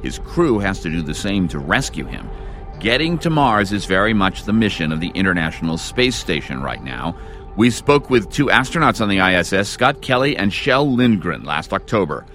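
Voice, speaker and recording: A man's voice: sits low, sing-song, moderate pace, fairly bright tone, faint music behind. An American man hosting a radio show with scripted narration in a studio. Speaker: radio host.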